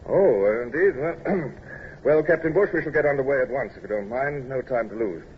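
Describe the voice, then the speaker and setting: An actor playing a reserved, gruffly tender naval commodore in a radio drama, speaking in a clipped, formal, hesitant way. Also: male voice, mid-range voice, expressive, normal speed, natural voice. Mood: brisk, formal. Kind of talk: old-time radio drama acting and narration. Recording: dull, noisy old radio recording.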